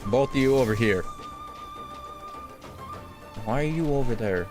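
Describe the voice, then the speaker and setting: A man's voice: mid-range voice, lively intonation, a little slow, over music. A man giving casual gameplay commentary, blunt and sweary, often talking straight at the game. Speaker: gaming video creator.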